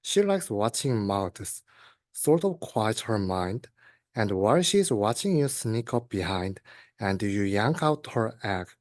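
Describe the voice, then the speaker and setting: Slow, hesitant young man reading rhymes. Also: on the low side, big pitch swings, dry recording, clean studio sound. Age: young adult. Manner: hesitant, repeats words. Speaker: student reader. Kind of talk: reading a rhyming children's book aloud.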